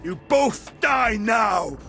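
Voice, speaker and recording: A man's voice: high voice, expressive, drawn-out words, creaky, sharp tone, faint music behind, full character voice. A man playing a proud, wrathful Sith lord villain in a video game, with a haughty, menacing, theatrical delivery. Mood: furious. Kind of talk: dramatic video game acting.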